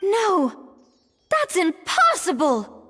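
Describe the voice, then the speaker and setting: An actress playing a proud, principled head chef in an anime game, speaking firmly and formally. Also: female voice, extremely high pitch, huge pitch swings, drawn-out words, a bit bright, exaggerated voice. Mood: alarmed. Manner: firm, formal, earnest. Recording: long reverb, music behind.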